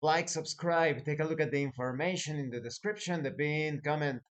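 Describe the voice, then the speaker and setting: A man hosting a tabletop gaming advice video as a game master, speaking in a deliberate, emphatic way with dramatic lists. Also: a little high. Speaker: host, professional game master.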